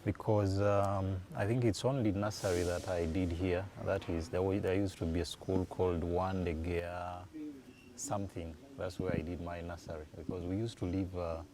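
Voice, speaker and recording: A Ugandan man in his forties, answering interview questions in an unhurried, thoughtful way as he recalls dates and places. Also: low-pitched, steady tone, a crowd in the background. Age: 40s. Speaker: guest. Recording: noisy room ambience.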